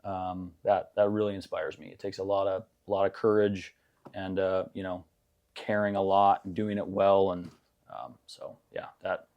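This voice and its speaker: Hesitant soft-spoken young man. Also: sits low, little pitch movement, normal speed, highs cut off. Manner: hesitant, many fillers and restarts. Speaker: gym member being interviewed. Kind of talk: casual interview chat.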